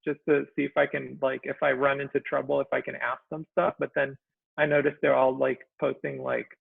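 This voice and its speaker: A man of about forty from Israel, a guest talking in a casual chat, soft-spoken and halting, with many ums and trailing phrases. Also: medium pitch, little pitch movement, creaky, slightly rough, no room sound. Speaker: guest cartoonist. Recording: tinny dry call audio.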